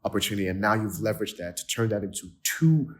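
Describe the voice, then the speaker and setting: Nigerian-born man, lowish voice, chatty host. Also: animated, quick pace. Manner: enthusiastic, many "like" fillers and restarts. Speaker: podcast host. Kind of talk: casual interview chat.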